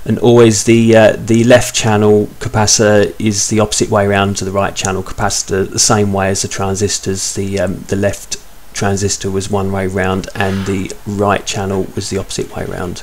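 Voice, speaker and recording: A man's voice: fairly low pitch, completely flat delivery, normal speed, hardly any pauses, darkish tone, a little hiss or hum. A man in his thirties giving an informal, step-by-step repair commentary as a hobbyist, explaining things a little hesitantly.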